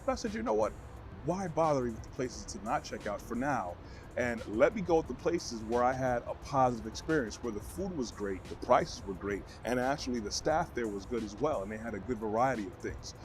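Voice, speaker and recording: Deep-voiced Brooklyn man, earnest. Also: expressive, over music. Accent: New York. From Brooklyn, New York. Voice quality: deep baritone voice. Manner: earnest, motivational, measured phrasing. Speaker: vlogger. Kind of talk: answering questions to camera.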